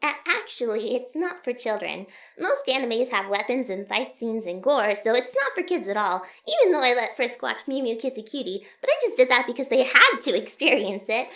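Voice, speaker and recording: Woman acting a stammering, high-voiced nerdy monster scientist. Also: very animated, moderate pace, few pauses, sharp tone, echoey room, full character voice. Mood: earnest rambling. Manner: stammering, rambling, hesitant. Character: shy, nervous nerdy anime-loving monster scientist. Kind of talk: amateur audio drama acting.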